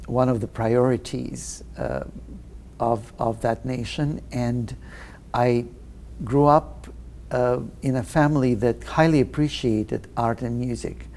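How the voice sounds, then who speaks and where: A man answers questions in an interview, thinking aloud with frequent pauses. His voice is dark and mellow, with a gravelly edge, and he talks at an unhurried pace. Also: mid-range voice, slight background noise. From Russia. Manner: slow, thoughtful, many hesitations. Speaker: guest pianist.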